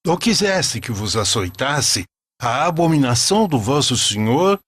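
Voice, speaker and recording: A man narrating a scripted religious story in a solemn, measured storytelling manner. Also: mid-range voice, big pitch swings, fairly bright tone, breathy edge, no room sound.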